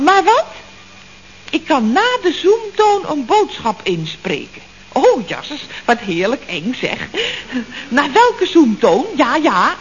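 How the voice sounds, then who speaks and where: An actress playing a gossipy, flustered office secretary in a 1960s radio comedy, talking in a chatty, giggly, breathless way. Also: female voice, high voice, heavily acted. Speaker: office secretary at a building firm. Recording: dull old radio recording, faint hiss.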